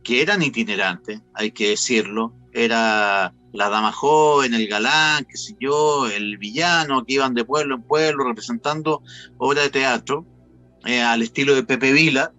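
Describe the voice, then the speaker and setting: Bright-voiced Chilean man hosting. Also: mid-range voice, measured pace. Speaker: interview host. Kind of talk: casual conversational interviewing. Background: faint music.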